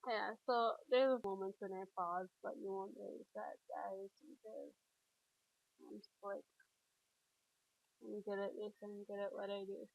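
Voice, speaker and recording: A woman's voice: mid-range voice, little pitch movement, unhurried, gravelly, pauses often, dry recording, lo-fi sound. A woman with a stuffy, congested voice gives casual, rambling commentary over a building video, full of fillers and restarts. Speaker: video creator.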